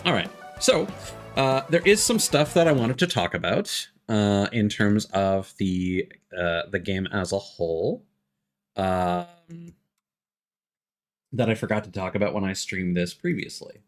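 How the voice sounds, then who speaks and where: A man talking casually as a live streamer, halting and hesitant as he thinks aloud. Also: fairly low pitch, animated, faint music behind. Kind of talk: casual livestream chat.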